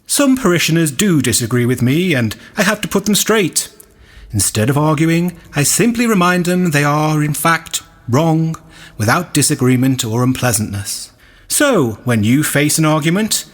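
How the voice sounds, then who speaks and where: A man playing a vain, self-satisfied, sanctimonious vicar giving a pompous, preachy comic radio sermon. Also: a little high.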